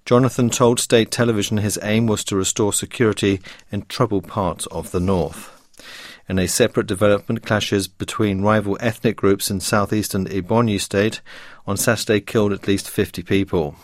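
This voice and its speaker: A man's voice: lowish voice, steady tone, moderate pace, no echo, clean studio sound. A man reading a scripted news bulletin on the radio. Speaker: radio newsreader.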